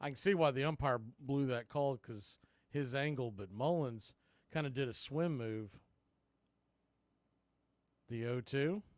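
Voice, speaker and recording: A man calling a baseball game live as a play-by-play announcer, mixing clipped pitch calls with folksy, casual asides. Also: unhurried, long pauses, no echo. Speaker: baseball play-by-play announcer for a fan radio stream.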